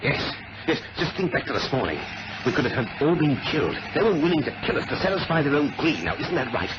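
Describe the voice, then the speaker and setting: A man's voice: fairly high pitch, big pitch swings, fast. A man playing a hard-headed, impulsive man of action in an old radio adventure drama. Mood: urgent. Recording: muffled, noisy vintage radio recording.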